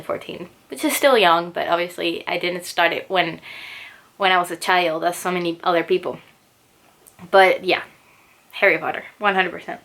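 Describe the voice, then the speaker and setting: A young adult woman whose first language is Spanish, chatting casually about books on her own channel, relaxed, with frequent restarts. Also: medium pitch. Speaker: booktuber. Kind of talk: casual chat to camera. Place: home, indoors.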